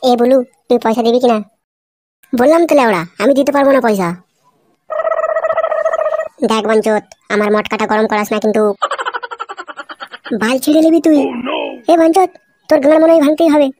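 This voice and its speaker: A man voicing a brash, quarrelsome cartoon buddy in slangy speech, with a pitched-up effect. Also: brisk, long pauses, noisy background. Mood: angry. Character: foul-mouthed, quarrelsome young male cartoon buddy. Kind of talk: comedy cartoon dialogue. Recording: music bed under the dialogue. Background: music.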